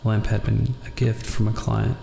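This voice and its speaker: Low, gravelly man reading in monotone. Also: moderate pace. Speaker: gaming video host. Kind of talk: reading visual novel narration aloud with brief casual asides. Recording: roomy echo, faint background noise.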